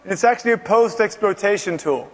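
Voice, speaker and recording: High-voiced man in his forties, joking. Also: quick pace. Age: 40s. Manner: bantering, jokey, laughs often. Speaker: security presenter. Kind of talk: joking conference co-presentation. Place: large conference hall. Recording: long hall reverb.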